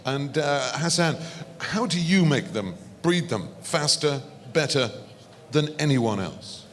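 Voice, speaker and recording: A man's voice: on the high side, big pitch swings, slow pace, a bit bright, slight background noise. A man moderating a panel, posing questions and introducing speakers in a polished, formal way. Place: large conference hall. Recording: reverberant conference hall.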